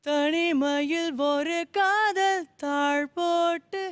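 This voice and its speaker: A woman's voice: very high voice, steady tone, very slow, no echo, clean studio sound, soft background music. A woman singing Tamil film songs as a contestant at an audition.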